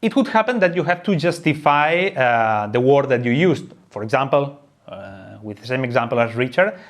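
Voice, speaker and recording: A man's voice: mid-range voice, expressive, moderate pace. A man presenting an unscripted how-to explanation of a game. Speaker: presenter of a board game tutorial.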